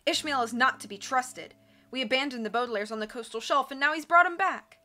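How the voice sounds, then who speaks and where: A woman's voice: high voice, brisk, sharp tone, voice pushed up. A woman voicing an angry, accusing mutineer. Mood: agitated. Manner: accusing, agitated.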